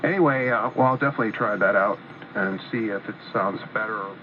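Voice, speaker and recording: A man chatting about his equipment as a ham radio operator, hesitant, with many fillers and some throat clearing. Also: lowish voice, fast talker. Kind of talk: casual radio chat. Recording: tinny radio receiver with faint static.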